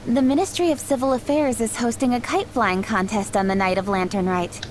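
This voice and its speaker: A woman playing a gentle, learned young woman. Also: fairly high pitch, fairly even intonation, over a noisy crowd, close to an everyday voice. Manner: soft-spoken, measured, formal. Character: polite young woman, gentle and knowledgeable about traditions. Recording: game music behind.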